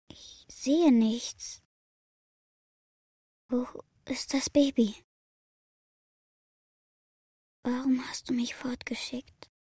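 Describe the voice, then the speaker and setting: A woman's voice: sits high, mellow, breathy edge, no room sound, studio quality, full character voice. An actress playing a brave, devoted young girl in a radio play, with an earnest, youthful delivery. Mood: dazed, weak.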